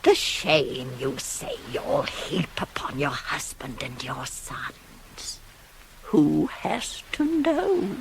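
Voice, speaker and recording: An actress playing a shrewd, pragmatic older nurse in a radio Greek tragedy, arguing with emphatic, insinuating rhetoric that can drop to hushed tones. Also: female voice, mid-range voice. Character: older female nurse, shrewd, pragmatic, persuasive confidante of a queen. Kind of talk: 1980s radio play acting of Greek tragedy. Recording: hissy old cassette radio recording.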